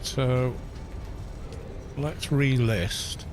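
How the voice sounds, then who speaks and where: Male streamer, baritone, laid-back running talk over game music, muttering asides. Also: extremely slow. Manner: relaxed, muttering to himself between remarks. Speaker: gaming streamer. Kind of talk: casual live-stream commentary while playing. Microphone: studio microphone with low cut. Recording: game music playing behind the voice.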